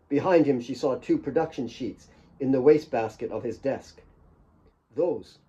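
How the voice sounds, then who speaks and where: A man's voice: medium pitch, dark tone, lo-fi sound. A man reading dramatic scripted fiction as an author narrating his own audiobook.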